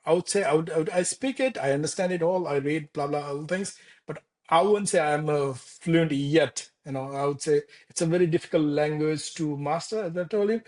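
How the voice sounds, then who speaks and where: Halting Nepali-accented man, fairly high voice. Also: a little mellow. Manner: halting, many restarts and fillers. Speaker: architect guest. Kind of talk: casual interview conversation. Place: Denmark.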